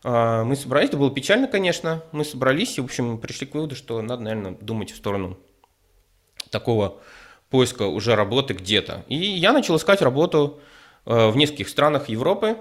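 A man from Ukraine, a guest telling his story in a relaxed chat, hesitant, with lots of fillers and careful wording. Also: medium pitch, fast talker. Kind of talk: casual conversational storytelling.

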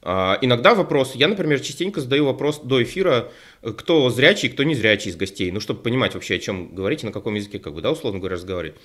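A man's voice: medium pitch, big pitch swings, rapid-fire, fairly bright tone. Rambling man chatting on a panel. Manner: rambling, many fillers and restarts. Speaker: co-host of an online show. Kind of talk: casual panel discussion.

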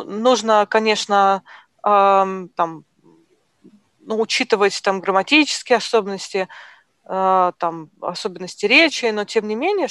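A woman host in a discussion who talks hesitantly, with many pauses and fillers. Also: medium pitch, dry recording. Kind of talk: conversational discussion. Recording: dry close sound.

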